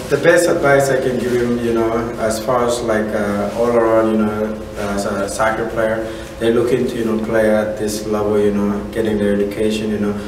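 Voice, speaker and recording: Male soccer coach, thirties, native Spanish speaker with Central American accent, halting and casual, very echoey room, distant from mic. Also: fairly low pitch, background noise. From Honduras. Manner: halting, repeats "you know". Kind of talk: casual interview answers. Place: indoor room at a college.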